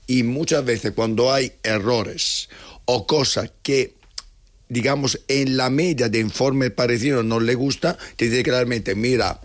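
A man takes his turn on a radio panel, his voice sitting at an ordinary mid pitch. He sets out his points in a measured, assertive way. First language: Italian.

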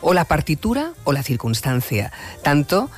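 A man hosting a late-night radio interview, speaking in a measured, reflective way and leading his guest with questions. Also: on the high side, big pitch swings, soft background music. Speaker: radio host.

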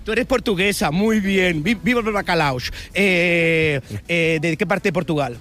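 A man's voice: high voice, unhurried, a bit bright. A man interviewing people on the street as a reporter, chatty and teasing, with lots of exclamations and follow-up questions. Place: street, outdoors. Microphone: handheld microphone.

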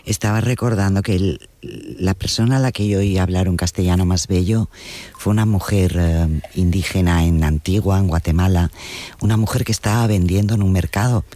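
A man's voice: sits low, moderate pace, dark tone. A man interviewing a writer, asking long, musing questions that often end with a tag question. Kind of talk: conversational interview.

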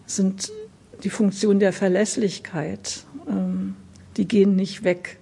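A woman's voice: mid-range voice, level tone, slow pace, creaky, mellow, breathy edge. An adult woman speaks spontaneously and reflectively as an interviewee, searching for her words. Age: adult, past twenties.

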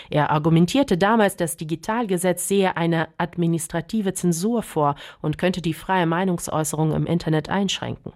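A woman correspondent delivering a formal, measured radio news report. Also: low voice, darkish tone.

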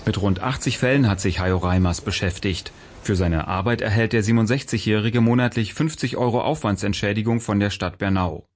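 Radio reporter, male, fairly low pitch, scripted report narration. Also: fairly quick.

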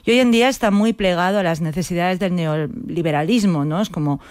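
Reflective woman with a clear, smooth voice. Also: fairly low pitch, fairly quick, darkish tone, no echo, clean studio sound. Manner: reflective, often seeks agreement with tag questions. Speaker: interview guest, playwright. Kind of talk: reflective conversational interview.

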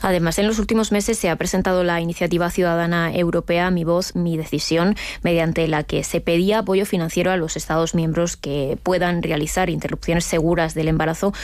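A woman interviewer with a fairly low voice delivers prepared questions. The sound is dry, with hardly any room on it. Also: fairly even intonation, brisk, hardly any pauses, darkish tone, no room sound.

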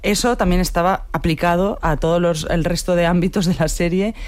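A man's voice: high-pitched, steady tone, brisk, no room sound. A man, a director, answering interview questions thoughtfully with frequent hesitations. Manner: thoughtful, hesitant, many "em" and restarts.

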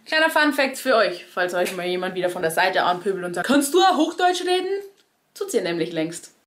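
A woman's voice: medium pitch, big pitch swings, fairly quick, fairly bright tone. A woman with a Bavarian accent explaining in a conversational, wry way, addressing her audience directly as a cabaret performer would.

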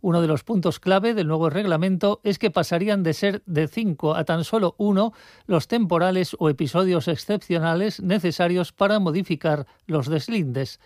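A man's voice: high voice, normal speed, a little mellow, no room sound, clean studio sound. A man with an accent from Spain delivering a scripted radio news report.

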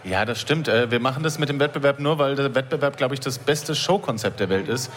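Male guest, very quick delivery, mid pitch, thoughtful with stumbles. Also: fairly bright tone, a little hiss or hum. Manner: quick, thoughtful, some stammering. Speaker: poetry slammer and author. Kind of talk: radio interview guest.

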